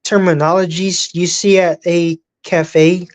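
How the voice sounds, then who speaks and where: A woman chatting as a guest on a live stream, halting and repeating words as she starts her sentences. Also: on the low side, flat delivery, a little mellow. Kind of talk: casual live chat.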